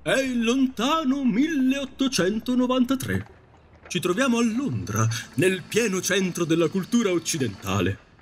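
A man's voice: high voice, big pitch swings, measured pace, exaggerated voice. A man acting a wistful, romantic Victorian ghost who tells stories theatrically in an audio drama. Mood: explanatory. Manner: theatrical storytelling.

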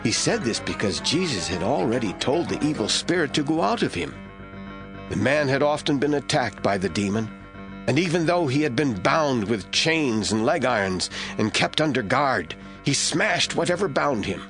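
A man narrating a Bible audio drama as scripted, expressive storytelling. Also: medium pitch, moderate pace, over music.

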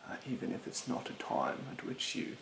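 A young man playing a rude, snobbish, fastidious facial therapist in a soft, half-whispered ASMR roleplay, haughtily polite and hesitant. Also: echoey room, background noise, lightly acted. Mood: fussy, instructive. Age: young adult. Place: bedroom, indoors. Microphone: close mic in front.

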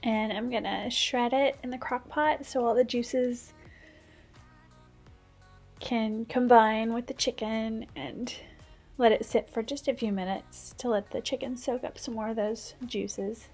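A woman's voice: on the high side, steady tone, unhurried, darkish tone, husky, soft background music. A woman in her thirties, a stay-at-home mom, giving a casual, practical voice-over as she cooks and shares kitchen tips. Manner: chatty, practical, explains tips as she goes.

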